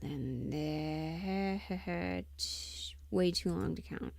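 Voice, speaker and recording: A woman's voice: on the low side, very slow, creaky, a little mellow, no echo. A woman livestreamer thinking aloud casually as she works at her computer, absent-minded and often trailing off. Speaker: livestreamer and audio performer. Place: indoors at a computer, close dry sound. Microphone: desk microphone, sometimes spoken off-axis.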